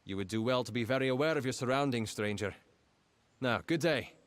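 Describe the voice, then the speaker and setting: A man's voice: medium pitch, fairly quick, close to an everyday voice. An actor playing an officious, fussy male gnome clerk in a fantasy game, with a pompous, formal manner. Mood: confiding, wary. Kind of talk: scripted fantasy game dialogue.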